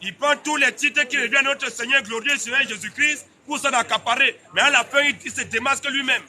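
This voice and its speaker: High-pitched man preaching loudly on a street. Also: fairly quick. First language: another language, French spoken as second. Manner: emphatic, repetitive, challenging listeners. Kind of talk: open-air street preaching. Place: busy city street outdoors. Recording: street noise behind.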